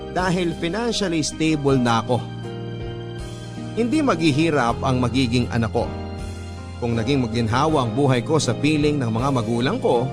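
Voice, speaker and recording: An actor narrating in the first person as a sincere young pilot, with a Filipino accent, in scripted radio-drama style. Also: male voice, mid-range voice, close to an everyday voice. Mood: confident, sincere. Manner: earnest, sincere. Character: earnest young male pilot telling his life story.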